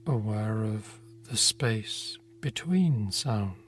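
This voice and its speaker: A man narrating a guided meditation in a gentle, unhurried manner, leaving long pauses between phrases. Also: on the low side, expressive, slight background noise. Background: meditation music with singing bowl tones.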